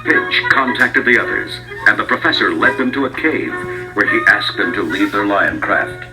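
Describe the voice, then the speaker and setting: A man's voice: on the low side, normal speed, poor audio. A man narrating a scripted children's storybook as a dramatic storyteller. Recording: loud music behind.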